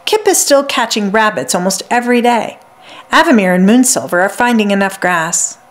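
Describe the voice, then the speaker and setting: A woman voicing an anxious, caring young girl in a storybook, earnest and soft-spoken. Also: mid-range voice, expressive, moderate pace, close to an everyday voice. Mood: reassuring.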